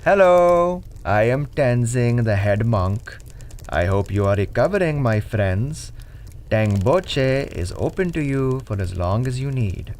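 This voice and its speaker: A man playing a courteous but guarded head monk in an adventure audio drama, speaking in a formal, measured, serene way. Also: medium pitch, expressive, darkish tone.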